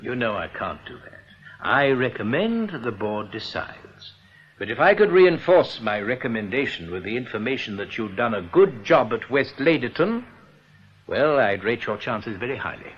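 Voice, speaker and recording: Guy playing brisk british bank boss, mid voice, persuasive, old dull radio play with bit of hiss. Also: mid-range voice, big pitch swings, natural voice. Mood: hearty, persuasive. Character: breezy, authoritative senior bank boss. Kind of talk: broadcast radio drama acting.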